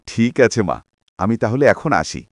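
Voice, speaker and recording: Man as young cartoon husband, dutiful, earnest, lively intonation, close dry sound. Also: lowish voice, a little slow, dry recording, heavily acted. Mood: reassuring. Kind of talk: cartoon drama dialogue.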